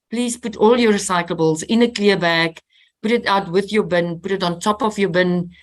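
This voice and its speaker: A woman presenting in a webinar, giving direct practical advice to residents. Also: on the low side. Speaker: collection and training manager. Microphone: video call.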